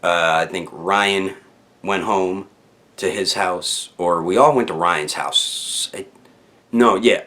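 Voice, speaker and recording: Low-voiced man telling a casual, rambling story. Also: slow, bright, forceful tone. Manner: rambling, slangy, with stammered repeats. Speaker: YouTube storyteller, channel host. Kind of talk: casual unscripted storytelling to viewers.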